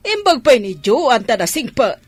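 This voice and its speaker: A woman's voice: on the high side, very animated, moderate pace, no echo. A woman playing a scheming, gossipy elderly village grandmother with an Ilocano Filipino accent in a radio drama. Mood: gleeful, gossipy.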